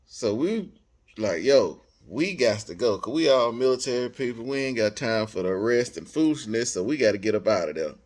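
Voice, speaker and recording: An American man telling a personal story casually, in animated, slangy speech, acting out bits of dialogue as he goes. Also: mid-range voice, normal speed.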